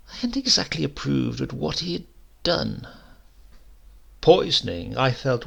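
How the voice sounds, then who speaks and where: A male storyteller reads humorous fiction with dry, measured wryness. His voice is heavily creaky and husky, and his intonation swings in an animated way. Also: medium pitch. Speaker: story reader. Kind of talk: dramatic narration of a humorous short story.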